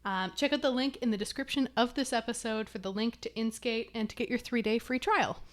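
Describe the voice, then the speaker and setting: Woman, podcast co-host, upbeat conversational, higher pitch. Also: a little fast. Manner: upbeat, explains and reads sponsor copy. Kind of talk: casual chat.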